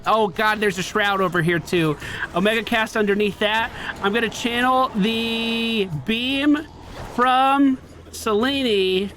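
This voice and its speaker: A man's voice: high-pitched, fairly bright tone, slight background noise. A man giving casual running commentary as he plays a video game, talking to himself with exasperated exclamations and swearing. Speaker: gaming video creator.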